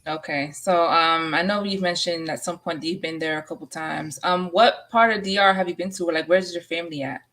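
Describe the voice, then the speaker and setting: Curious woman interviewer, lowish voice. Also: fairly even intonation, a little fast, hardly any pauses, a bit bright. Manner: curious, prompting with short replies. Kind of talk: casual interview questions.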